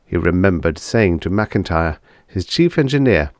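A man with a low voice narrates vintage science fiction in a measured, dramatic storytelling manner. The recording is close and dry, with very little room around the voice. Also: lively intonation, no echo. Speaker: audiobook narrator.

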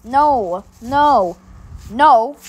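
A woman's voice: a little high, expressive, extremely slow, exaggerated voice. A woman voicing a whiny young cartoon boy who begs and protests in a homemade skit. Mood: pleading. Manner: whining, begging protests.

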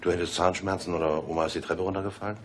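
An actor in a radio drama playing a pushy, flirtatious ex-husband who teases and wheedles. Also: male voice, low-pitched, steady tone, racing, natural voice. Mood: teasing, flirtatious. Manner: teasing, wheedling. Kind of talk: German radio drama acting. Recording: echoey room, clear background noise.

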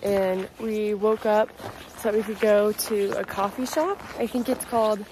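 A woman's voice: medium pitch, a little slow, background noise. A young woman chatting casually into her phone as she films a travel vlog, bubbly and talkative. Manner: bubbly, chatty, says "cute little" a lot. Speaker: vlogger. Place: outdoors on ski slopes and snowy streets, and indoors in a rental house. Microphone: phone held at arm's length.

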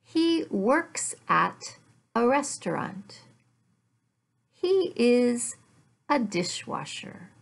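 Very slow-paced woman teacher, slightly high voice. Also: big pitch swings, gravelly. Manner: very deliberate, careful enunciation, repeats phrases for learners. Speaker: English teacher. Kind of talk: slow, simplified language lesson read aloud. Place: furnished room at home.

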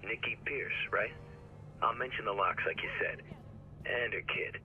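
An actor playing an uneasy hired crank caller in a video game, coarse and hesitant, on distant phone audio. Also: male voice, lowish voice, a little slow, lo-fi sound, soft background music, lightly acted.

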